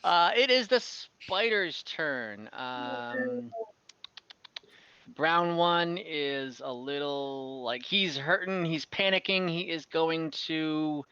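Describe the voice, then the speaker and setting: A man with a fairly high, bright voice runs a tabletop game as game master. His delivery is casual and conversational, over slightly dull online call audio. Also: clean tone, no echo.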